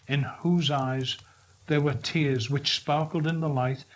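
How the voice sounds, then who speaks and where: A man reading a classic story as narrator, in a measured, expressive way. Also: on the high side.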